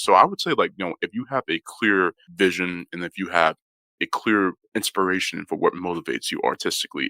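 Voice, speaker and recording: Young guy on a podcast, deep gravelly voice, talks fast and rambles w lots of fillers. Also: no room sound. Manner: relaxed, rambling, many "you know" fillers. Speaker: photographer guest. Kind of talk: casual interview conversation.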